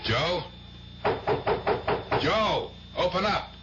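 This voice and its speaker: A protective middle-aged uncle, gruff and colloquial, with a lively rise and fall. It is 1970s radio drama, muffled and noisy like an old broadcast. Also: male voice, high voice, drawn-out words, heavily acted. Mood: concerned, coaxing. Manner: colloquial, fatherly. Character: gruff, protective uncle who runs a nightclub.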